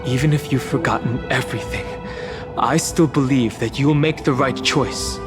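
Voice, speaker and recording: An actor playing an earnest, steadfast warrior in a dramatic video game, speaking in a measured way. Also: male voice, mid-range voice, close to an everyday voice. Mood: earnest appeal. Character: earnest, steadfast male warrior pleading with old comrade. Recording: music behind the voice.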